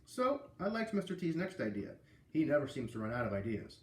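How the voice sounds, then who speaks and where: American man, children's read-aloud, casual storyteller delivery, mid-pitched voice, echoey room. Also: big pitch swings, fairly quick.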